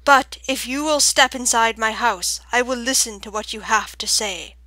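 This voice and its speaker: A woman's voice: on the high side, pushed and bright, no room sound. A woman voicing a large, stern, formal male owl with a round, sonorous voice in an audiobook. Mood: measured, formal. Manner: slow, formal, weighty delivery. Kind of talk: audiobook character voice.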